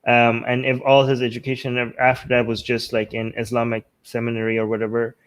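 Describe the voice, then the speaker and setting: Man from Iran, native Persian speaker, mid-pitched voice, casual political commentary, rambling, repeats and rephrases. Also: completely flat delivery, fairly quick. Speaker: talk show host.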